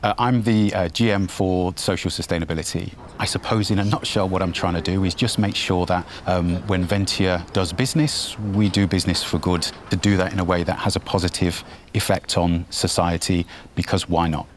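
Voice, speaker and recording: A man's voice: low-pitched, steady tone, moderate pace, a little hiss or hum. A man, a company manager answering interview questions with frequent restarts and repeated words.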